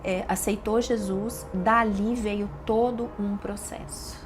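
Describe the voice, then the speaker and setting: A woman's voice: medium pitch, fairly even intonation, slow. A middle-aged woman with a Brazilian Portuguese accent, a doctor and podcast guest, giving a personal testimony with emotional, emphatic repetitions. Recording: audible background noise.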